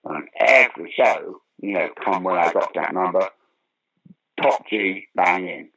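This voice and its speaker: Older male, low and husky, a theatrical raconteur in conversational interview mode. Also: expressive, unhurried, pushed and bright, rough recording. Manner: theatrical, emphatic storyteller who acts out remarks. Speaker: interview guest, pantomime actor and former opera singer. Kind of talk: animated anecdotal interview talk.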